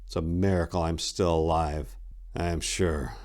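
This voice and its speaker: A man's voice: low voice, steady tone, a little slow, darkish tone. Man voicing a resolute, commanding warrior monk. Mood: grave, joyless. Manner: commanding, grave, emphatic.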